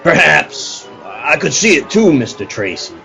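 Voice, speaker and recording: A man's voice: fairly high pitch, sing-song, a little slow, vocal fry, pushed and bright, faint music behind, full character voice. A man playing a businesslike, world-weary circus showman in a radio play, matter-of-fact and deferential.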